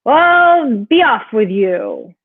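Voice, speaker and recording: A woman's voice: fairly high pitch, huge pitch swings, drawn-out words, slightly rough, narrow, tinny audio, full character voice. A woman voicing a mean, ugly storybook troll with a roaring, menacing delivery. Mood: grudging, dismissive.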